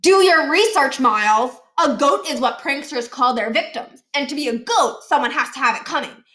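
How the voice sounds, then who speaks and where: A woman's voice: sits high, expressive, moderate pace, a bit bright, voice pushed up. A woman voicing an earnest, self-assured schoolboy prankster who lectures in a storybook read-aloud. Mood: lecturing. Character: earnest, know-it-all schoolboy master prankster.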